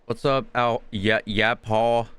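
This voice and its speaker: A man's voice: medium pitch, level tone, takes it slowly, crowd noise. A man streaming a video game, giving casual commentary in a laid-back, offhand, joking way. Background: game music.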